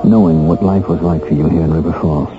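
A man's voice: very deep voice, steady tone, fast. An American actor playing a bitter, determined middle-aged man just freed from prison, speaking earnestly and emphatically in 1970s radio drama style. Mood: tender, earnest. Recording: dull vintage radio recording with music.

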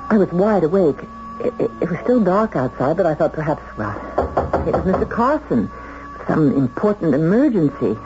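An actress playing a prim, easily flustered but spirited young secretary in a 1970s radio drama, speaking with proper, hesitant diction. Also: female voice, mid-range voice, natural voice. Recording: dull vintage radio recording.

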